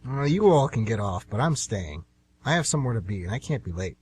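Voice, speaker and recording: A man's voice: lowish voice, sing-song, a little fast, darkish tone, slight background noise. A man playing a hopeful, earnest train passenger and devoted father in an amateur radio drama, somewhat hesitant in speech. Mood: earnest, determined.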